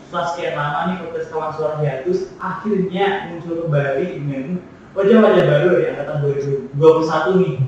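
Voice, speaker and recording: A young man in his twenties hosting a casual student podcast with brisk, upbeat interjections. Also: on the high side, steady tone, loud music behind the voice. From Klaten, Central Java. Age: 20s. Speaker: podcast host. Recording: distant, dull, echoey room.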